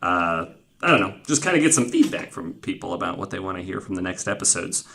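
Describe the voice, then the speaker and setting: A man with an American accent hosting a casual, joking podcast with a deadpan, self-deprecating manner. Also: low voice, fast, vocal fry. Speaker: podcast host.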